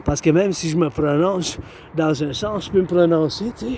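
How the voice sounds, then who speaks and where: A man's voice: on the high side, normal speed, background noise. A man with a Québécois accent speaking in a rambling monologue outdoors, repeating and circling back to his own phrases. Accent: Québécois French. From Quebec. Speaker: vlogger.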